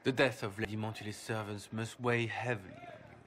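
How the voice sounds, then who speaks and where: A man's voice: lowish voice, steady tone, brisk, fairly bright tone, close to an everyday voice. An actor playing a courtly, calculating nobleman in a video game, with a gracious and formal manner. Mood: grave, knowing. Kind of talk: video game cutscene acting.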